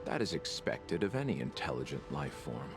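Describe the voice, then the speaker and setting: A polite, calculating healer makes his argument in a deep, smooth voice. His intonation rises and falls in a lively, persuasive way. Game music sits behind him. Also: normal speed, heavily acted. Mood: calm, persuasive. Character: smooth, scheming male healer, calm and persuasive.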